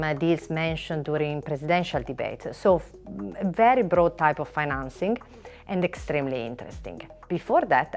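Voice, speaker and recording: A middle-aged woman from Italy with an Italian accent, a finance lawyer answering in a measured way, listing items with frequent pauses. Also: low voice. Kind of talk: panel interview answer.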